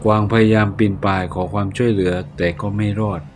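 Man doing old grieving villager, slow, heavy, low voice. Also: fairly even intonation, soft background music, lightly acted. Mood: sorrowful. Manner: slow, heavy, sorrowful telling.

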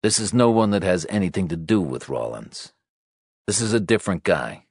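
Narrator as male police detective, deep, calm, weary, low-key, dry delivery, close dry sound, audiobook. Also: normal speed, husky, no room sound, heavily acted. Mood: patient, tender.

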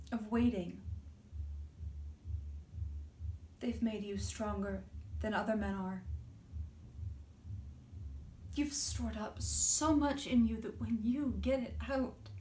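A woman's voice: on the high side, dark tone, lightly acted. A woman performing a stage drama monologue as a tender, devoted young woman in love, earnest and poetic. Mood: fervent, encouraging. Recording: roomy, distant mic, faint noise.

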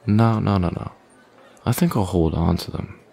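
A man playing a smug, menacing young male bully in an intimate audio roleplay, taunting with slow, deliberate threats in a deep, gravelly voice. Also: dark tone, heavily acted. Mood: smug, dismissive. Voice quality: deep, gravelly, breathy at line ends.

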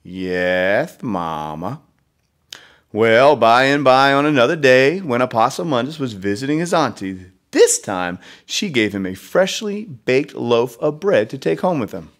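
A man's voice: mid-range voice, expressive, normal speed, hardly any pauses. A man reading a children's storybook expressively, with conversational asides to young listeners. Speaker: read-aloud storyteller.

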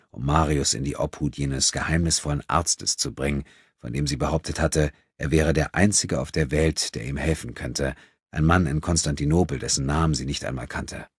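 A man's voice: rumbling low, breathy edge, dry recording, clean studio sound. A man reading a novel as a scripted, dramatic audiobook narration.

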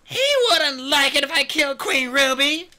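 A man's voice: very high-pitched, fairly even intonation, normal speed, sharp tone, pitched above the performer's own voice, full character voice. A man reading aloud and voicing a stubborn, anxious young female dragon who pleads and protests.

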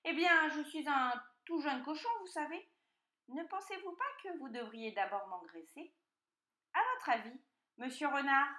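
Here is a woman acting out a sly little boy piglet from a storybook, high and very animated. He pleads with exquisite politeness and fake innocence, in a roomy, echoing space. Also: unhurried, full character voice. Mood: polite, coaxing. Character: cunning young piglet, polite and wheedling, male. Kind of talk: children's storybook character voice.

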